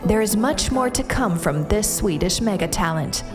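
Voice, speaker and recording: A woman reads a scripted promotional voice-over. Her delivery is smooth and even, pitched at a middle height. Music plays clearly underneath her. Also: moderate pace. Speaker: voice-over narrator. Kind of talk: scripted narration.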